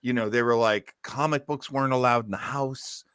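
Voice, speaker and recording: A man hosting a podcast, chatting casually and telling stories in an animated manner. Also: medium pitch, moderate pace, clear, resonant tone, dry recording, studio quality. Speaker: podcast host. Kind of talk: casual chat.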